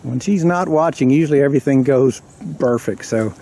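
A man talking casually to camera outdoors in a woodland clearing, rambling and correcting himself as he explains. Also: medium pitch, a little fast, mellow. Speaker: vlogger.

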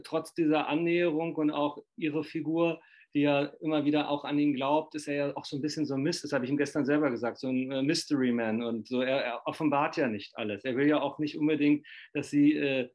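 A man's voice: a little high, steady tone, brisk, a little mellow. A middle-aged man interviewing from his living room over a video call. He rambles, hedges and keeps tagging his sentences with little questions. The webcam audio is dull and lo-fi. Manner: rambling, hedging, many fillers and tag questions.

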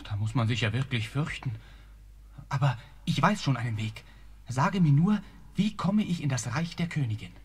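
A man's voice: medium pitch, lively intonation, moderate pace, breathy edge, no echo. An actor playing a brave, clever young prince in a radio play, earnest and tender in his speech.

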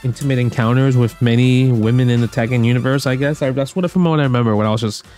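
Male commentator, casual and opinionated, slangy, repeats points for emphasis, mid-pitched, light music bed. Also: fast talker, darkish tone. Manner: slangy, emphatic, repetitive phrasing. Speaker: guest commentator ranking movies. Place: indoor room at home.

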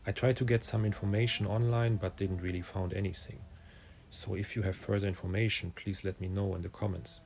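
Very low, creaky-voiced man narrating. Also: fairly even intonation, a little fast, a little mellow, a little hiss or hum. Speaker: drone vlogger narrating own video. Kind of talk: scripted voice-over narration. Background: faint music.

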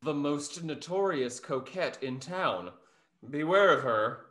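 Echoey room, guy playing a snarky gossip gentleman, period comedy, highish voice. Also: lively intonation, slow pace. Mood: wry, mocking. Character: dry mocking gossipy gentleman wit. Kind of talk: period stage comedy acting. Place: indoors at home on video call. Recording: roomy, echoing home call.

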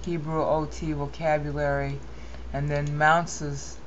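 Opinionated low-voiced woman, lo-fi recording. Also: level tone, takes it slowly, a little hiss or hum. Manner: opinionated, rambling, self-correcting. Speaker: software tutorial presenter. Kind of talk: casual screen-recorded tutorial.

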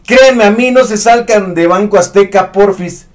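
A man's voice: high-pitched, normal speed. Indignant man hosting a news stream. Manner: indignant, emphatic. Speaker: host of an online news commentary show. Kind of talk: opinionated news commentary. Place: studio set with a news backdrop.

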